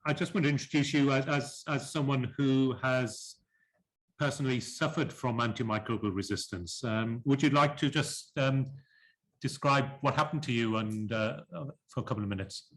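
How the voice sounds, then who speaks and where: A man chairing a panel discussion, polite and halting, often repeating words as he sums up. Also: medium pitch, fairly bright tone.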